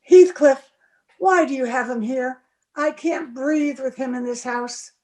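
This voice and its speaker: A woman plays an unhappy, lovelorn young bride in a gothic radio play. Her voice is high and her pace is slow. She is pleading and emotional, in a theatrical old radio manner. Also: darkish tone. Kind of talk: old-time radio acting.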